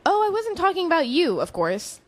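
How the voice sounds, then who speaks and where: A woman playing a friendly, reflective middle-aged chemistry professor in an audio drama, speaking in a measured, explanatory way. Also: very high-pitched, huge pitch swings, faint music behind, full character voice. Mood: friendly, concerned. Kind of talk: conversational audio drama acting.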